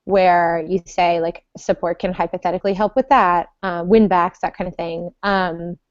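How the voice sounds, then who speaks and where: A woman's voice: lowish voice, level tone, normal speed, no echo, highs cut off. A woman on a podcast panel talking about support work, hesitant, with many ums as she thinks aloud. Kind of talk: casual panel chat.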